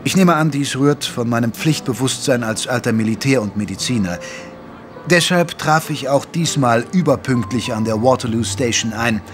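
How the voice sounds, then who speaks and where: An actor playing a retired old army doctor who narrates a radio play in a measured, genteel, reminiscing way. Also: male voice, medium pitch, soft background music, close to an everyday voice. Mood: reflective. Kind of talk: scripted first-person radio play narration in German.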